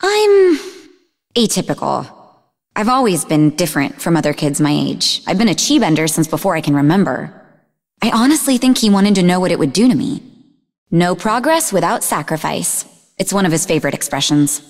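Sarcastic young game girl, sharp tongue, really animated delivery, big echoey hall sound. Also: fairly low pitch, moderate pace. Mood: earnest, defensive. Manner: sarcastic, mocking, snappy. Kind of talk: video game voice acting. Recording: long reverberant hall echo.

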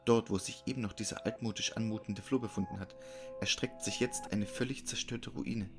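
Low-voiced male horror narrator over music. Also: fairly even intonation, a little fast. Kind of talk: scripted dramatic narration of a horror story. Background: music behind the voice.

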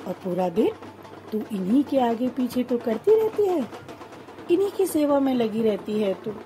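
A woman's voice: fairly high pitch, animated, moderate pace, mellow, slight background noise, lightly acted. A woman playing a fond, teasing royal mother talking to her child in a radio drama. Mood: amused, affectionate.

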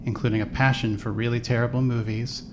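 A man's voice: mid-range voice, flat delivery, fast talker, slight background noise. A man reading scripted second-person story narration for a fan audio drama. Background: clear music.

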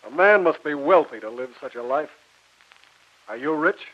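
A man playing a rough, skeptical harpooner, blunt and outspoken, in old-time radio drama style. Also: on the high side, lively intonation, moderate pace. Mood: blunt disbelief. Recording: muffled old radio recording.

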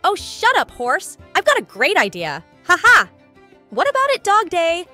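Possessive purple cartoon cat, female performer, sharp bright voice, very animated, sweet but bossy. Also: very high voice, normal speed, faint music behind, exaggerated voice. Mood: bossy, scheming. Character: possessive, scheming purple cat, theatrically sweet then snappish. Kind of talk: comic dub character acting.

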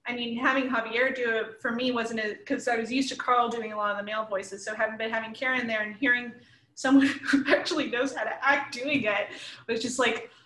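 A woman in her thirties chatting casually as a panel guest, talking in an animated way with laughter in her speech. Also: fairly high pitch, fairly quick, a little hiss or hum. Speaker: comics creator and panel guest. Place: indoors at home, room with bookshelf, video call. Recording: echoey room, shared mic.

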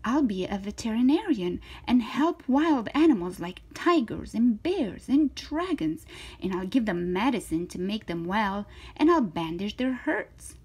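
Storybook role: bold little girl, played by a woman, eager and defiant, high lively pitch with a slightly husky edge. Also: unhurried, exaggerated voice. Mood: eager, dreamy. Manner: emphatic, eager, boastful. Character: spirited, confident, defiant little girl with big dreams. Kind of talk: children's storybook character voice.

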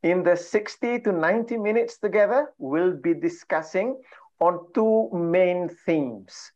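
A man's voice: sits high, a little slow. Man, middle-aged, from Malaysia, workshop host, filler-heavy speech, video call, muffled audio. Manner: frequent "uh" fillers, warm and laughing. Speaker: trainer and coach hosting an online session. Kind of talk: welcoming an online workshop. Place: home room with bookshelves.

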